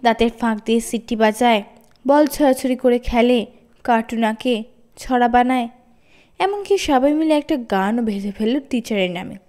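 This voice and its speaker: A woman narrating a children's story as an expressive audiobook reader. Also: fairly high pitch, normal speed.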